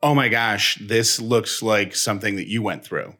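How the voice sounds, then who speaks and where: A man with a bright, forceful edge to a slightly low voice. He talks casually and reflectively as a co-host, earnest and reassuring, often settling into long personal monologues. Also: normal speed. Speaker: talk show co-host.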